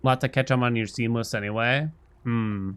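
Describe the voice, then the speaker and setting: A man's voice: medium pitch, steady tone, normal speed, fairly bright tone, smooth. A man livestreaming a video game, musing aloud and chatting casually with his viewers in a conversational way. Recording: game music behind the voice.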